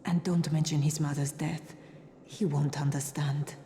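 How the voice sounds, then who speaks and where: An actress playing a grave, discreet woman medic in a video game, at times hushed. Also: low-pitched, fairly even intonation, measured pace, a little hiss or hum.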